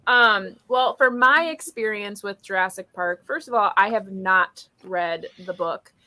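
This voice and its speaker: Bright-voiced woman hosting a chatty live stream. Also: medium pitch, a little slow. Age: 30s. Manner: enthusiastic, informal, chatty. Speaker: host of a book readalong live show. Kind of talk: casual live-stream chat. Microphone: video call.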